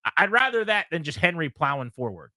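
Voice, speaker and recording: A man hosting a sports talk radio show who argues his points in an animated, argumentative way. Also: on the high side, quick pace, no room sound, clean studio sound. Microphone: studio mic.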